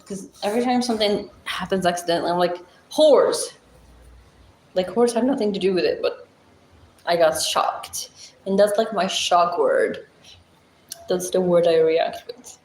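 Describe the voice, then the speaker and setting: A Moroccan woman with a Moroccan accent, hosting a casual livestream with a blunt, chatty, deep-voiced way of talking and frequent laughs. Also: reverberant, slight background noise, poor audio. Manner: chatty, blunt, laughs often, thinks aloud. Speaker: livestream host. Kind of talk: casual livestream chat reading comments.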